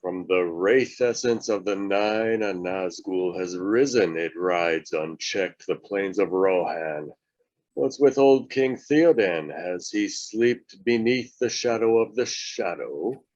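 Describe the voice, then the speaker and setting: A man's voice: sits low, takes it slowly, a little mellow, lo-fi sound. A man playing a grave, commanding old wizard, declaiming solemnly in a script read.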